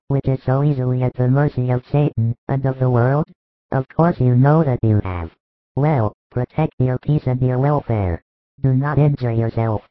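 A man voicing a gentle, grave religious master, earnestly exhorting his disciples in a storybook reading. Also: medium pitch, dry recording, lightly acted. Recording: thin phone-like band, dry.

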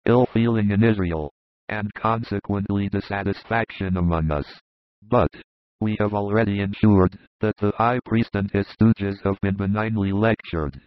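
A man voicing a jovial, confident Roman centurion in an audiobook, in a low, confiding, easygoing voice. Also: steady tone, moderate pace, no echo, natural voice. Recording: dull lo-fi dry recording.

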